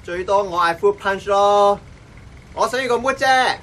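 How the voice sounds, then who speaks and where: An actor playing a tipsy, brash young man in a Hong Kong Cantonese radio drama, loud and rambling. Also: sits high, measured pace, a little hiss or hum. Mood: restless, flippant. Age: young adult.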